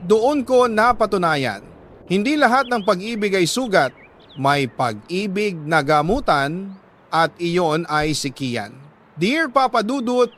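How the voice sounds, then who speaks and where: A Filipino man narrating a first-person story dramatically, in a sentimental, deliberate delivery with short pausing phrases. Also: sits high, fairly bright tone, a little hiss or hum. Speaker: radio storyteller reading a listener's letter.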